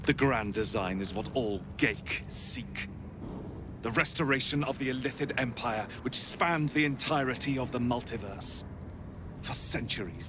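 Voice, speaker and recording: An actor playing a cold, menacing male githyanki inquisitor who speaks in a measured, condescending way. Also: medium pitch, noisy background, lightly acted. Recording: game audio with music behind.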